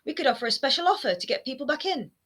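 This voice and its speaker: A woman voicing a shrill, nagging former queen in a storybook reading, her voice high, whining and scolding. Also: very animated, rapid-fire. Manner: shrill and scolding, rising to near shouting. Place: home room lined with bookshelves. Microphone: webcam framing, seated close to camera.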